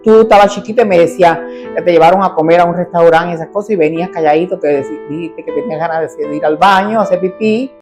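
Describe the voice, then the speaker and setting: A middle-aged Cuban woman telling her life story as an interview guest, animated and lively as she acts out the voices of her family. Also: on the low side, fast talker, faint music behind. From Cuba.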